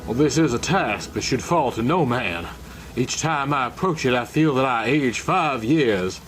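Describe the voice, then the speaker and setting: A man's voice: mid-range voice, animated, measured pace, a bit bright, faint music behind. A man voicing an anguished, weary prison warden who pleads solemnly and formally in a melodramatic horror story reading. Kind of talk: dramatic horror comic reading.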